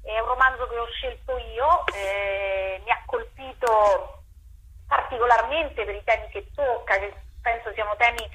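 A woman's voice: on the high side, measured pace, sharp tone, poor audio. A woman theatre director answering interview questions, repeating words as she searches for phrasing.

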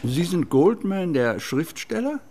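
An actor playing a wealthy estate owner in a radio drama, speaking in a formal, courteous and reserved manner. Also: male voice, on the high side, very animated, measured pace, warm tone, slight background noise. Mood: guarded, courteous.

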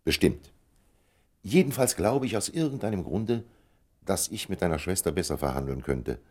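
An urbane older confidant in a radio drama. His voice is low and husky, his tone dry and gently ironic, with lively turns of intonation. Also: moderate pace. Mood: confident, urbane. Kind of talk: German radio play acting.

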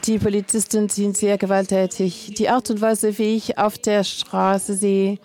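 A woman speaks to a panel audience in a defiant tone that stays plain and direct. Her pitch hardly moves, which gives her words a level, even delivery. She pauses between short phrases. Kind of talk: public panel statement.

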